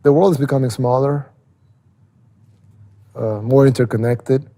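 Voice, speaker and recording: Mellow, gravelly man with a Salvadoran Spanish accent. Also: mid-range voice, steady tone. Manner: halting, repeats words while thinking. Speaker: interviewee. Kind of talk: casual interview explanation.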